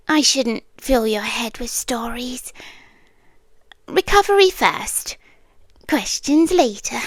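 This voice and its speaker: Close and very dry, this is a woman acting as a possessive British lady doctor in an ASMR roleplay. Her voice is high and soft, often dropping to a whisper. She speaks extremely slowly and deliberately, with an eerie, soothing bedside hush. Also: no echo. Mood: quiet unease. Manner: hushed, deliberate, slipping into whispers, long pauses. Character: soft-spoken eerie, possessive female doctor tending a lone patient.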